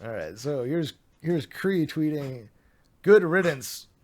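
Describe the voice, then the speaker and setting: A man's voice: a little high, animated, takes it slowly, a little mellow. A man streaming, reading a document aloud with sarcastic, mocking asides, his voice getting a little worn.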